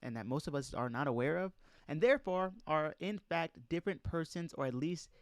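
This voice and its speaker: A man's voice: on the high side, animated, brisk, dry recording, clean studio sound. A man reading aloud in an informal lecture style, speaking emphatically and putting questions straight to his listeners. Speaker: presenter reading a document aloud and commenting.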